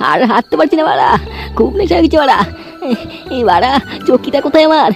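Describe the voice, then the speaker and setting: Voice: high-pitched, big pitch swings, fast talker, vocal fry, exaggerated voice. An actor voicing a drunken, gullible, foul-mouthed village husband in a comedy cartoon, with coarse, slurred speech. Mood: drunk, groggy. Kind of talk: amateur comedy cartoon dub. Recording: music behind the voice.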